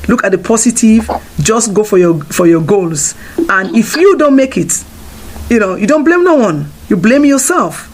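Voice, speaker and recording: A woman's voice: medium pitch, expressive, normal speed, darkish tone, poor audio. A woman presenting a radio interview, giving emphatic advice and often restarting her phrases. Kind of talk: casual radio interview chat.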